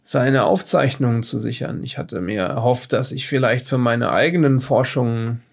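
An actor playing a peevish, well-off scholar who survived a flood, speaking hesitantly and fussily in an improvised audio drama. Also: male voice, medium pitch, moderate pace, creaky. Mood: guarded, explanatory. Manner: hesitant, fussy, snobbish.

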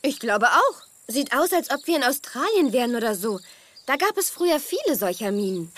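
High, animated sensible girl. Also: moderate pace, heavily acted. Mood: explaining. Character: bright, sensible, caring girl toy figure come alive. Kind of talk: children's radio play acting.

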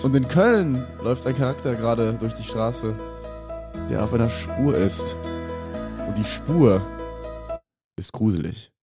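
Male narrator, mock-solemn, medium pitch, comedy audio play host, music behind. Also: lively intonation, a little slow, pauses often.

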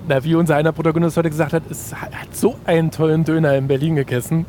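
Casual male podcast partner, soft-edged mellow tone, rapid delivery, slight street rumble. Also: level tone. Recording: faint rumble of outdoor city traffic. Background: faint rumble, vehicles.

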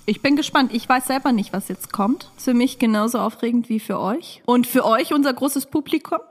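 A woman's voice: on the high side, brisk, long reverb tail. A woman co-hosting a podcast in casual, bantering conversation. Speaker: podcast co-host.

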